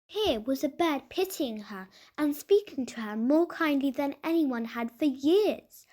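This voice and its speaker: High-voiced woman reading a children's story. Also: lively intonation, measured pace, dark tone. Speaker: storybook reader. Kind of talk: children's novel read aloud.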